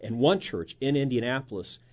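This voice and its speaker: Measured man with hesitant fillers. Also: medium pitch, expressive, muffled recording. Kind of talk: conversational discussion.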